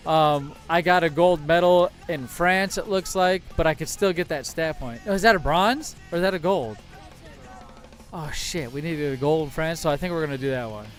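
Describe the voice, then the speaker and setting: A man's voice: on the high side, normal speed. An American man hosting a casual game livestream, talking in an enthusiastic, rambling way. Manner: enthusiastic, rambling, mild swearing. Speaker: livestream host. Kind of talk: casual game streaming chat. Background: faint music.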